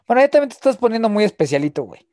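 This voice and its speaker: A man's voice: high voice, sing-song, very fast, a crowd in the background. A man with a Mexican accent hosting a podcast, teasing his co-hosts and swearing freely in casual banter. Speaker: podcast host. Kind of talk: casual chat.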